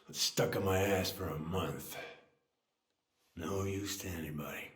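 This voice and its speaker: An actor playing a self-deprecating, grieving middle-aged American man in a video game drama, halting and folksy in manner. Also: low voice, echoey room. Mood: sorrowful, reflective. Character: self-deprecating, grieving older man, old family friend.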